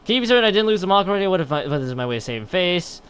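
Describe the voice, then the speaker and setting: A man's voice: high-pitched, big pitch swings, very fast, a bit bright, clear, resonant tone. A man commentating on a game stream and reading game text aloud in a rambling way, often stumbling and correcting himself. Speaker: live streamer.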